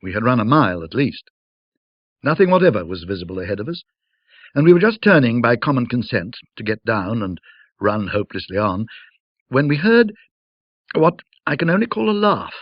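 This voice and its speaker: Expressive man telling a ghost story. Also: medium pitch, no echo, lo-fi sound. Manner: measured, atmospheric, conversational asides. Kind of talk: dramatic scripted storytelling, in an old-fashioned classic audiobook manner.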